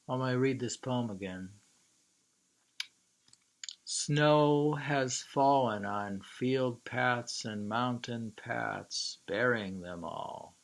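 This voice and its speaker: A man reading poems aloud and commenting informally, musing haltingly with muttered asides and drawn-out lines. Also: mid-range voice, creaky, poor audio. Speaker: reader and commentator.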